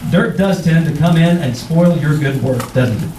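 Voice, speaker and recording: A man playing a friendly, persuasive pitchman in a scripted 1940s-style radio advertisement. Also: normal speed, darkish tone, lightly acted. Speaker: commercial pitchman. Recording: roomy distant stage pickup, faint noise.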